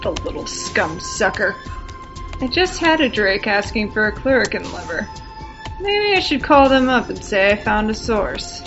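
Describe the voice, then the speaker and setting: A woman's voice: mid-range voice, big pitch swings, normal speed. A woman playing a callous, cocky, ghoulish harvester with a dry, disdainful manner in an audio drama. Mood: scornful. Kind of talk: scripted audio drama acting. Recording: slightly dull lo-fi recording. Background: music.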